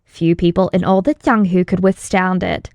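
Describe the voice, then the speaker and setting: A woman reading scripted fantasy narration as an audiobook narrator, in a measured, dramatic storytelling manner. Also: on the low side, steady tone, a little mellow, no room sound.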